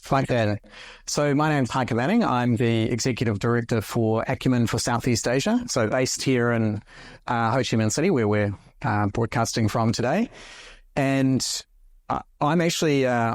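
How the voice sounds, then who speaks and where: Relaxed middle-aged man, dry sound. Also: mid-range voice, dry recording. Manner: relaxed, self-deprecating. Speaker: panelist, executive director. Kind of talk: panel conversation.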